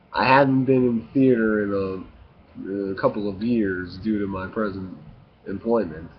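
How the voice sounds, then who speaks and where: A man in his late twenties giving a casual, confessional vlog monologue, with halting, stop-and-start phrasing. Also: lowish voice. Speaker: video blogger. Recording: muffled lo-fi with faint hiss.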